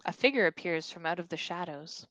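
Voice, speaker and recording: A woman's voice: lowish voice, expressive, brisk, a bit bright, no room sound, poor audio. Woman reading stage directions, dry sound. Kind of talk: scripted narration at a table read.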